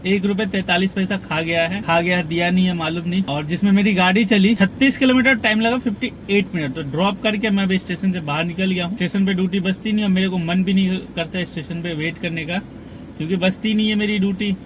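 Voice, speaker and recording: A young man from Bihar talks through his taxi fares, tolls and waiting times in an easy, chatty way, his voice sitting fairly high. He records from inside his car with a phone or camera, and a faint hum of city traffic runs underneath. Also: fast talker, a bit bright, low-quality recording. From Bihar, India. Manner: chatty, running through fares and waits in detail. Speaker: taxi driver vlogger. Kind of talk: casual driving vlog talk.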